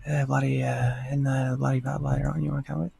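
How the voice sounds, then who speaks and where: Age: adult. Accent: broad Australian. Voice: a man doing a slurring bogan bloke, drawled and gravelly. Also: medium pitch, flat delivery, quick pace, warm tone, pitched below the performer's own voice. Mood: easygoing. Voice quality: slurred, mumbled consonants. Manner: spoken aloud instead of whispered, loose and drawled.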